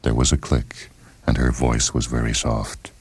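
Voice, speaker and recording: An American man narrating a story for radio in a measured, solemn, dramatic read. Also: a bass voice, little pitch movement, husky. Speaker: narrator and host. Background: music under the reading.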